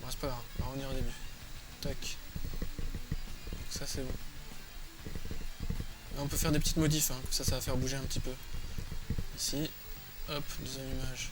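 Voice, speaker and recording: Casting: male tutorial presenter, mid-pitched, informal and unscripted, with prominent music behind him. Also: flat delivery, moderate pace, pauses often, low-quality recording. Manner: informal, thinking aloud while working. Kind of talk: casual, improvised screen-recorded tutorial. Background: loud music.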